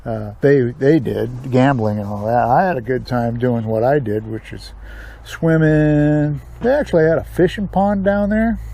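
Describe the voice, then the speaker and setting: A man's voice: on the high side, gravelly, dark tone. An older American man telling stories from his past in a casual, rambling, folksy way. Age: older, 60s or above. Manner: rambling, folksy, chuckling asides. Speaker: storytelling vlog host. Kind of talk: casual reminiscing storytelling.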